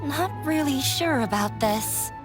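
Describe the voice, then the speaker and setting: A timid, nervous young woman in a video game. She speaks slowly and hesitantly in a high voice, with clear music behind her. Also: animated. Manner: hesitant and stammering. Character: shy, nervous young woman, unsure of herself.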